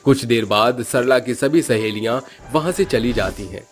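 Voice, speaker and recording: A man's voice: mid-range voice, normal speed, soft background music. A man giving scripted narration for a horror cartoon story.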